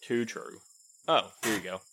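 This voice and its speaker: An actor playing a prim, by-the-book postmaster in an audio drama, with formal, fussy, precise speech. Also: male voice, lowish voice, measured pace, heavy background noise. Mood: dry, amused. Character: prim, by-the-book male postmaster, curious and pedantic. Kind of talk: naturalistic full-cast audio drama.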